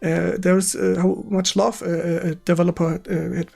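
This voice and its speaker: A man with a German accent hosting a casual gaming stream interview in English, speaking haltingly and repeating words with effusive enthusiasm. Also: sits high, little pitch movement, vocal fry, a little mellow. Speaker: host of a retro gaming stream.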